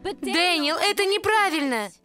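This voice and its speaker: An actress voicing a gentle, persuasive teenage girl angel in a cartoon dub. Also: very high-pitched, expressive, a little slow, soft background music, full character voice. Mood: pleading.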